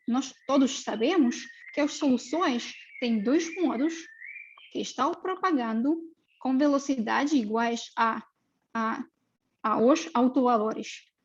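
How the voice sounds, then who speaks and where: Woman, Russian accent, high pitch, breathy voice, halting academic lecture, many fillers. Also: a little mellow, highs cut off. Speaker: postdoctoral researcher.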